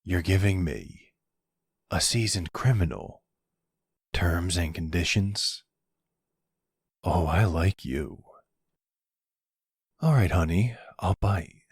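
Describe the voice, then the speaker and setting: Man playing a gravelly, very low-voiced mafia boss. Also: animated, no room sound, clean studio sound, heavily acted. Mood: flirtatious, amused.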